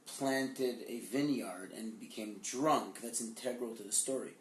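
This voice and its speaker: A man's voice: medium pitch, unhurried, slight background noise. Man explaining Torah stories in an echoey room. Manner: storytelling explainer with repeated restarts. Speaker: podcast co-host, Torah teacher. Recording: echoey room, distant mic.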